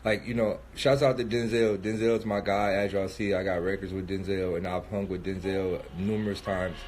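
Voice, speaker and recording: A man's voice: sits low, little pitch movement, moderate pace, a little mellow, a little hiss or hum. A man in his thirties with a cold, sniffling a little as he thinks aloud in a casual livestream monologue, loose and rambling with many fillers and restarts. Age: 30s. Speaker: rapper streaming live.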